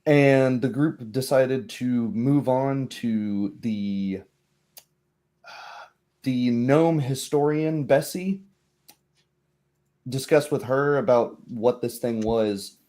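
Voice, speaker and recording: A man narrating and recapping a tabletop roleplay as game master, often pausing to search for words. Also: mid-range voice, warm tone.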